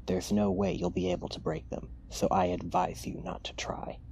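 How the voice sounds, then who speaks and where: A man playing a cold, menacing, possessive mad scientist in an ASMR roleplay, clinical and taunting in a hushed way. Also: low voice, big pitch swings, normal speed, vocal fry, full character voice. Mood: threatening. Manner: clinical dictation mixed with hushed, taunting asides.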